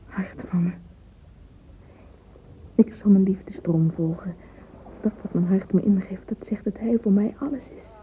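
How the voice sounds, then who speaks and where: A woman's voice: mid-range voice, measured pace, long pauses, a little hiss or hum, close to an everyday voice. An actress playing a dreamy, romantic young woman in a radio play monologue, whispering intimately and fervently through a narrow, phone-like recording. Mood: fervent, yearning. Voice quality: breathy, whispery. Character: dreamy romantic young woman lost in yearning love fantasies.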